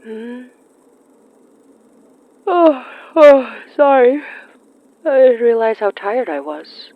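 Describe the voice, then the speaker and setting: A polite, quietly determined young sorceress in a horror audio drama. She speaks slowly and softly with dry wit. The sound is dry and a little dull. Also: on the high side, very animated, no echo, exaggerated voice. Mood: drowsy, apologetic. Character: young magic-wielding woman, polite, wry, quietly determined.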